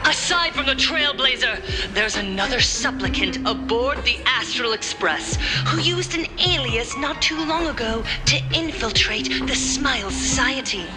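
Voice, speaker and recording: Poised, scheming broadcaster, high voice. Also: female voice, expressive, over music. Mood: grave, accusing. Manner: formal, theatrical, measured. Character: poised, scheming female broadcaster making a grave public accusation. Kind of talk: dramatic televised public address.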